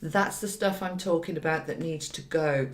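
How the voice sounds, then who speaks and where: A woman gives an informal tarot reading in a roomy, echoing space. Her voice is on the low side and slightly croaky. She talks straight to her viewers in a conversational way, repeating phrases for emphasis. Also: moderate pace. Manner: conversational, direct address, emphatic repetition. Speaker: tarot reader and hypnotherapist. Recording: roomy, echoey sound.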